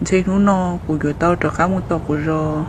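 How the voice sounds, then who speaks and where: A woman's voice: lowish voice, fairly even intonation, a little fast, slight background noise, lightly acted, low-quality recording. A woman voicing a chatty village woman in a homemade cartoon, talking in a plain, conversational way and recorded on a phone. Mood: chatting. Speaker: voice actor. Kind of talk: amateur cartoon voice acting. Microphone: phone microphone.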